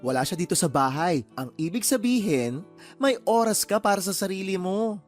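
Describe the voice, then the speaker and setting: Flamboyant gossipy bestie, very animated pitch, sassy, teasing, radio drama, faint music. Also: male voice, moderate pace. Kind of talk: Tagalog radio drama acting.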